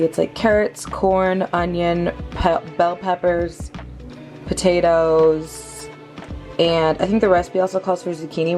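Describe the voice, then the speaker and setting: A young woman with a deep, raspy voice, a bit hoarse from a cold, chatting casually in a home vlog. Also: mellow. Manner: chatty, rambling. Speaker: vlogger.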